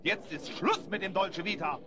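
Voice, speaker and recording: A man's voice: high-pitched, moderate pace, over a noisy crowd, natural voice. A man playing an indignant rogue henchman in an audio drama, with a blustering, theatrical manner. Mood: vengeful.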